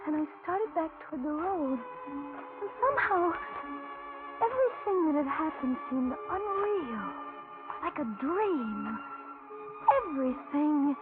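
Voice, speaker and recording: An actress playing a frightened young carhop, halting and melodramatic, in a 1940s radio suspense drama. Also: female voice, high voice, very animated, over loud music, full character voice. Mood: dazed. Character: frightened young carhop recounting her nightmare ordeal. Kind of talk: 1940s radio drama acting, first-person narration. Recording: tinny 1940s radio recording.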